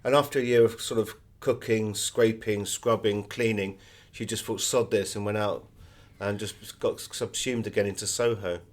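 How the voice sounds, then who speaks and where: A man's voice: on the low side, fairly even intonation, normal speed. A middle-aged British man being interviewed as an author, telling anecdotes in a conversational way.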